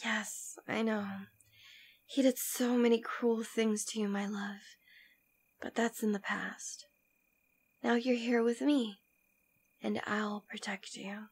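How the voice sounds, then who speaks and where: A woman's voice: fairly high pitch, steady tone, slow pace, long pauses, husky, heavily acted. A woman playing a devoted, teasing demon queen in an ASMR roleplay, speaking intimately and tenderly, often dropping toward a whisper. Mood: tender, protective. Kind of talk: ASMR roleplay audio drama.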